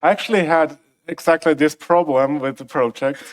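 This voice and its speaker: Man, slightly high pitch, conference session host, informal announcements. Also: moderate pace, low-quality recording. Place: conference stage, indoors.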